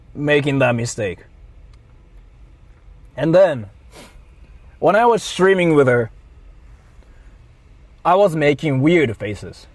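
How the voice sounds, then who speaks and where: Male streamer, higher-than-average pitch, slow deliberate delivery in broken phrases, mock-apologetic monologue. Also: no echo. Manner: deliberate, halting short phrases. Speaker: livestreamer.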